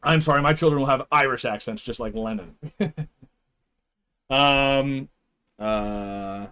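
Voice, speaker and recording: A man's voice: medium pitch, slow pace, sharp tone, smooth. A young man streaming live, chatting casually with his viewers in a rambling way, chuckling often and trailing off.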